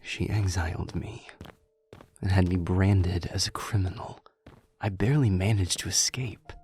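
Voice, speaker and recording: A man playing an earnest, courteous exiled warrior king in an ASMR audio roleplay, with a hushed, hesitant delivery. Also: low-pitched, measured pace, a little hiss or hum. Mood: grave. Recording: music under the voice.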